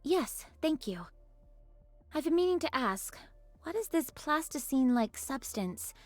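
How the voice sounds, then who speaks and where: A woman's voice: high-pitched, big pitch swings, a little slow, no room sound, faint music behind. A woman voicing a composed, practical young squad leader in an anime-style game, polite and matter-of-fact.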